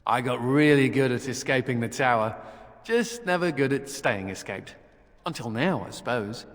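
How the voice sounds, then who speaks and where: A man's voice: mid-range voice, lively intonation, normal speed, close to an everyday voice. A man playing a wry, flippant young-spirited mage in a video game, sardonic and playful. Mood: wistful, wry. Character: flippant, wry male mage, restless escapee. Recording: reverberant hall with faint music.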